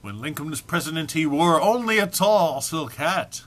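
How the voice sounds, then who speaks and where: Animated, bright-voiced man reading dramatically. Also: on the high side, normal speed. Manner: theatrical, often breaking into amusement and stumbling. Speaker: amateur reader. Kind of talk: dramatic reading of a humorous text.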